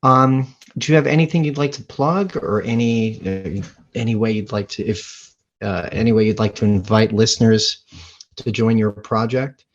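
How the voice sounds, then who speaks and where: A man's voice: lowish voice, moderate pace. A man hosting a podcast interview, responding with brief encouraging remarks. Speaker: podcast host. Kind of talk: casual interview chat. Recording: dull lo-fi sound.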